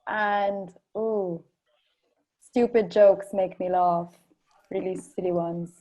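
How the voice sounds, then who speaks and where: Slow, mellow young woman. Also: mid-range voice, steady tone. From Malta. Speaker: student, panel participant. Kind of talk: casual self-introduction.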